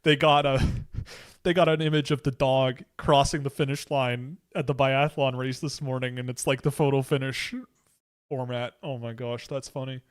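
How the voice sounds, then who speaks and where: Man, young adult, livestream host, rambling, excitable, lots of restarts. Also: medium pitch, normal speed, clear, resonant tone. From Canada. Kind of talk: casual livestream chat.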